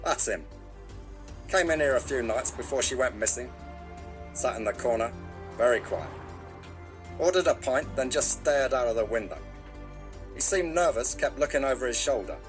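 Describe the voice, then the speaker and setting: A man playing an uneasy pub landlord in an audio drama, giving witness testimony in short, halting phrases. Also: medium pitch, expressive, soft background music, close to an everyday voice. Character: uneasy male pub landlord recalling a stranger.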